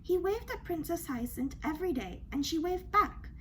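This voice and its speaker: A woman reads a children's storybook in a high-pitched, very animated voice. She is expressive and dramatic, leaning on questions and little asides. There is some noise behind her. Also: moderate pace. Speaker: storybook reader. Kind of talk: expressive children's read-aloud.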